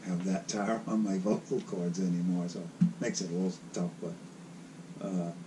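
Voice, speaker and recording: An older man with a raspy voice, recalling his past in a halting, rambling way as the guest of an interview. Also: low-pitched, flat delivery, noisy background. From South Carolina. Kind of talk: casual reminiscing.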